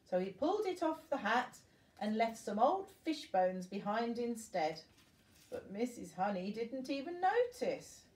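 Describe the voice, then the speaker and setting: Older woman, storybook reading for children, expressive, grandmotherly asides, roomy echo, distant from mic. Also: a little high, unhurried.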